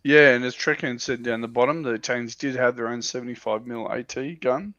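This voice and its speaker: Male hobby-stream panelist, casual and hesitant, gravelly edge, dry dull recording. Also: medium pitch, steady tone, a little fast, bright, forceful tone, no room sound. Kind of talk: casual chat about miniature wargaming. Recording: dull, muffled lo-fi audio.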